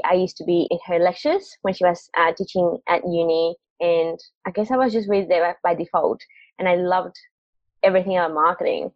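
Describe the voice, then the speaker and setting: A woman's voice: on the low side, dry recording. Chatty young woman from Mexico, dry lo-fi audio. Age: young adult. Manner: chatty, laughs often, many fillers. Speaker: guest, marketing agency founder. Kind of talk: casual interview chat. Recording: dry, dull lo-fi call audio.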